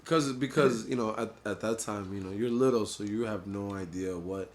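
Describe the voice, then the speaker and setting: A man with a Honduran family background, telling his story in a laid-back, low-key casual chat. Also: normal speed, crowd noise. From Honduran family, grew up in the US. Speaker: DJ and producer, guest.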